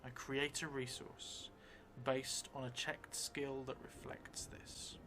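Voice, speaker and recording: A middle-aged man thinking aloud as he plays a solo tabletop game, halting and hesitant, often trailing off mid-thought. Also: mid-range voice, little pitch movement, noisy background. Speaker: solo tabletop role-player streaming a game. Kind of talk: thinking aloud while playing a journaling game. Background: music.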